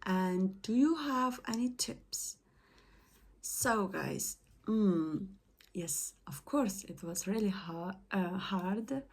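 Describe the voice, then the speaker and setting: A woman's voice: mid-range voice, lively intonation. A young woman with a Persian accent, a native Persian speaker, talking casually and hesitantly to her followers in a study vlog. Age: young adult. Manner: hesitant, halting, many fillers and self-corrections. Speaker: study vlogger. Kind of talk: casual talk to camera.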